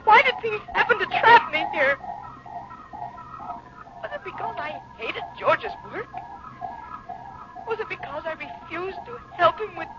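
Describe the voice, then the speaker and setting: A muffled vintage radio recording carries a very high, frightened wife. She draws out her halting, breathless phrases slowly, in full 1950s melodrama, as a woman trapped by horror. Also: lively intonation, background noise. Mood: haunted dread. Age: adult. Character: terrified scientist's wife, trapped with giant insects. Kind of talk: 1950s radio drama acting.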